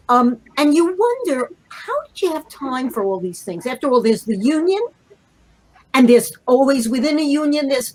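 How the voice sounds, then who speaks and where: An older woman with a fairly high, very husky voice reminisces as a guest. She speaks haltingly and spontaneously, circling back to repeat her phrases. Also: rough recording. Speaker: panel guest, playwright.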